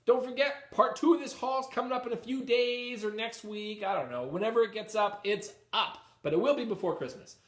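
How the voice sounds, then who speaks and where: A man's voice: extremely high pitch, fairly quick, hardly any pauses, a bit bright, echoey room. A man hosting a casual, rambling comics vlog, chatting and thinking aloud as he addresses his viewers directly. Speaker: host of a comics video channel.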